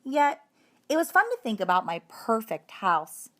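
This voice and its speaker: A woman reading a children's story aloud in an expressive, emphatic narrating style. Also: on the high side, measured pace. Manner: animated, emphatic delivery. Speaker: audiobook reader.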